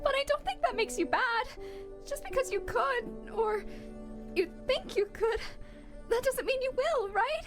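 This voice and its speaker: A woman voicing a gentle, trusting child skeleton who speaks earnestly and hopefully in a dramatic comic dub. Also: extremely high pitch, expressive, measured pace, over music. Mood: earnest, reassuring. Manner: earnest, pleading, reassuring.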